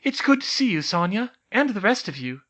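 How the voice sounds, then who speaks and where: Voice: medium pitch, big pitch swings, a little fast, fairly bright tone, voice pushed up. A reader voicing Hermione, an earnest, caring young witch. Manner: earnest, concerned.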